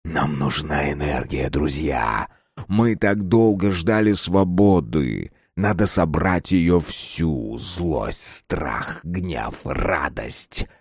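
A male narrator gives voice to a mass of monstrous people in a horror story. They plead and urge with slow, incantatory fervour, drawing out each exclamation in a dry space. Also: rumbling low, lively intonation, husky, no echo, pitched below the performer's own voice, full character voice. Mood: solemn urging. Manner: incantatory, exclaiming in drawn-out phrases. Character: fused crowd of monster people, fervent, pleading, chanting.

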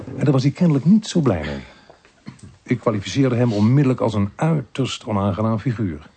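A police inspector tells his case in a dry, wry way, at a middling pitch. It is radio drama, with some noise in the recording. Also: sing-song, a little fast, mellow. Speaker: narrator and lead character. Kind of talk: radio drama acting and narration.

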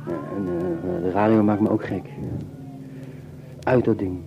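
Guy acting anxious young dude in a radio play, stutters and repeats himself, lowish voice, muffled old recording. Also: fairly even intonation, measured pace, background noise. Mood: brooding, fed up. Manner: hesitant, stammering, repeating words. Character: anxious, brooding young Dutchman fleeing people, troubled by women. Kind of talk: naturalistic radio play dialogue and muttered monologue. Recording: dull lo-fi tape with music.

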